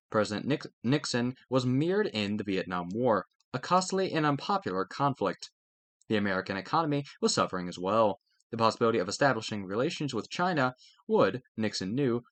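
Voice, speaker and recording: Man reading aloud with lively, swinging pitch. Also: no echo. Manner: stumbles over words and self-corrects while reading. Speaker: reader of a study textbook aloud. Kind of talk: scripted read-aloud of a history textbook.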